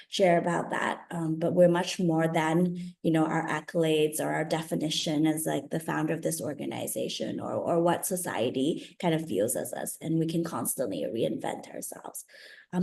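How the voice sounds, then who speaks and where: A woman with a lowish voice and a lot of vocal fry, moderating a panel discussion. She asks long, wandering questions in a relaxed, hesitant way, full of "um" and "like.". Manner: hesitant and chatty, with many "um" and "like" fillers. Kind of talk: casual conversational questioning.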